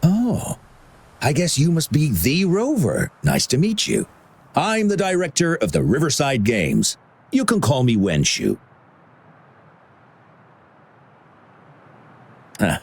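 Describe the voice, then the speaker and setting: Animated, avuncular older games director. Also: male voice, a little high, a little slow, slightly rough, full character voice. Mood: welcoming, cheerful. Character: genial older male games director, nostalgic and chatty. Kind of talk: video game character dialogue.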